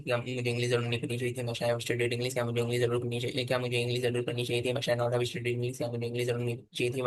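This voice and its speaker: Male student, rapid, very monotone, dry close sound. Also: mid-range voice, dry recording. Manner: rapid, flat recitation. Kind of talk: reciting drills.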